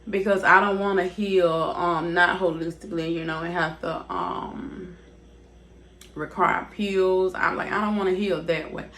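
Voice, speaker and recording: A woman's voice: lowish voice, a little hiss or hum. A young woman in her mid-twenties talks straight to her viewers in a casual, unscripted way. She rambles, circles back and leans on fillers as she goes. Her voice is picked up by a device's built-in mic instead of a proper microphone. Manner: casual, rambling, repeats herself, leans on "basically" and "like". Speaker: vlogger. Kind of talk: candid personal vlog talk. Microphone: device's built-in mic, not her usual microphone.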